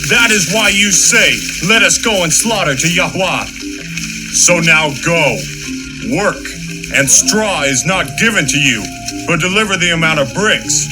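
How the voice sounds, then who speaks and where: A man's voice: a little high, measured pace, lightly acted, rough recording. A man playing a haughty, harsh Pharaoh in a scripture audio drama, imperious and scornful. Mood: angry, commanding. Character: haughty, harsh Pharaoh, tyrant king of Egypt. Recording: music under the voice.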